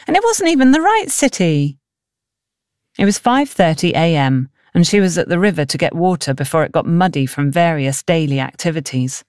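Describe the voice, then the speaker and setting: A woman narrates a novel in a scripted audiobook style. Her pitch is medium, and the sound is very dry, with little room around the voice. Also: a little mellow, no echo.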